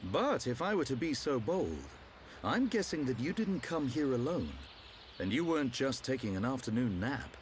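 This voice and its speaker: An actor as a wry, expressive adventurer. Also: male voice, medium pitch, normal speed, a little hiss or hum, close to an everyday voice. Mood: wry, probing. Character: suave, wry, teasing adult male adventurer. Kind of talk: video game cutscene acting.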